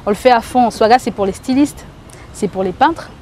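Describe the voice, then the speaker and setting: Woman, singer talking in an interview, fairly high voice, hesitant and reflective, faint traffic noise outdoors. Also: normal speed, dark tone. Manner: thoughtful, searching for words with frequent hesitations. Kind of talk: casual interview talk.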